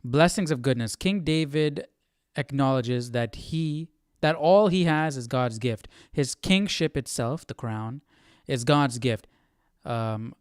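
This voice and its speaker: A man's voice: medium pitch, slow, few pauses, clear, resonant tone, no echo. A man with a mid-range voice talks casually through a Bible study in a very dry recording. He wonders aloud, poses questions and moves between reading and informal comment. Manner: questioning, musing. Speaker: Bible study livestream host.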